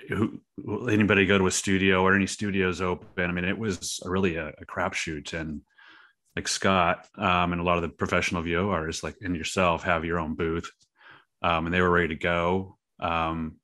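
A man's voice: deep voice, pushed and bright. A man answering as a podcast guest in casual interview chat. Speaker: podcast guest, creator and writer.

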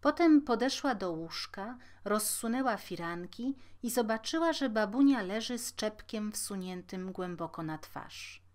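A woman's voice: mid-range voice, expressive, measured pace, few pauses. A woman reading a scripted fairy tale aloud as a storyteller.

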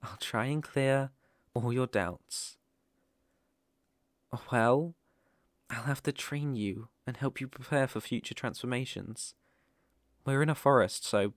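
A British man playing a gentle, protective werewolf mentor in an ASMR roleplay, speaking softly, tenderly and reassuringly. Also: mid-range voice, no echo, clean studio sound, lightly acted. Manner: soothing, unhurried, tender.

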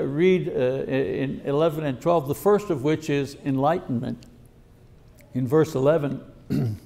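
A man in his sixties preaching a sermon at a podium, reading scripture and acting out prayers in a measured teaching manner. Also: fairly high pitch, vocal fry, warm tone. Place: lecture hall at a podium. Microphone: podium microphone.

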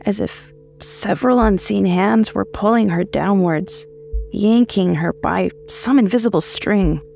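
A woman's voice: mid-range voice, measured pace, gravelly, darkish tone, soft background music. A woman reading a horror short story as scripted narration.

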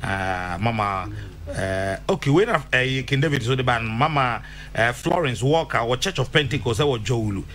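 A man co-hosting a radio show, reading birthday shout-outs in a chatty, hesitant way. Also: medium pitch, lively intonation, normal speed, slight background noise. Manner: chatty, hesitant, mixing local language with English. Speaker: radio co-host.

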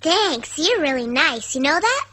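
A woman's voice: sits high, very animated, a little slow, over loud music. A woman playing a cheerful, polite young girl in an anime dub, childlike in manner.

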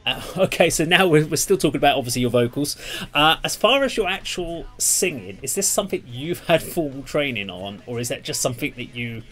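A man's voice: a little high, animated, a little fast, few pauses, a bit bright. A man in his thirties hosting a casual music interview over a video call, easing hesitantly into his questions. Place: indoors in a room, on a video call.

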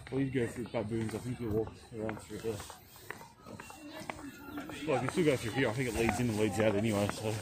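A man's voice: on the low side, fairly even intonation, fairly quick, long pauses. Man chatting casually on a noisy zoo walk. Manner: offhand, chatty remarks about the animals. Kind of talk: casual chat while walking. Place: outdoors at a zoo. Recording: loud outdoor ambience and noise.

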